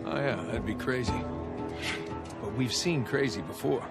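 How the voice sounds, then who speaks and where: A man's voice: mid-range voice, moderate pace, loud music behind the voice, natural voice. An American actor in his thirties playing a wry, casual, adventurous treasure hunter in a video game. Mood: wry, curious. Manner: wry, casual, muttering to himself. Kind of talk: naturalistic video game acting. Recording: game audio under loud ambient noise.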